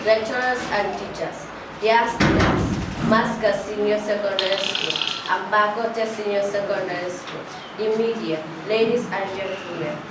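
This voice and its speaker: A woman's voice: mid-range voice, fairly even intonation, moderate pace. Woman formally reading out a list of guests. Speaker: event presenter. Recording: very echoey hall from far off, noisy.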